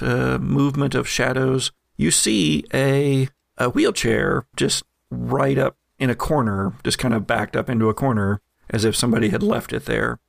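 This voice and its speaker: A man runs a tabletop game as its game master, speaking at a middling pitch with a gravelly creak. He improvises scene descriptions directly to the players, pausing and picking his way through them. The sound is close and dry. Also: no room sound.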